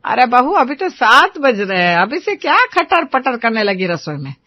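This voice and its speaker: Woman playing an older mother-in-law, bright forceful voice, grumbling, scornful, stubborn, story drama, close dry sound. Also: fairly high pitch, sing-song, normal speed, no echo, muffled recording, heavily acted. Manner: whining, grumbling.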